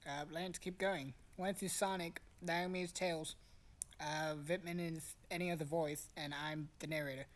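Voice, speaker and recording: A woman's voice: low voice, steady tone, moderate pace. A woman hosting and narrating a casual amateur story reading, blunt, bossy and sweary between lines. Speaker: host and narrator.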